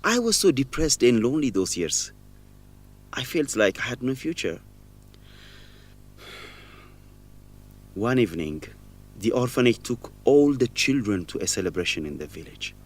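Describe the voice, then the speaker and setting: A guilt-ridden young man in a radio drama. His delivery is earnest and halting, with a mid-range pitch that swings widely as he confesses. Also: big pitch swings. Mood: ashamed confessing. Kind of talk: educational radio drama acting.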